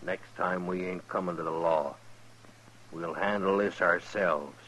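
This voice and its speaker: An actor playing a belligerent, bullying rancher in a Western radio drama, blunt and rustic. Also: male voice, deep voice, steady tone, measured pace, heavy background noise. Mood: angry, threatening. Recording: dull vintage radio recording.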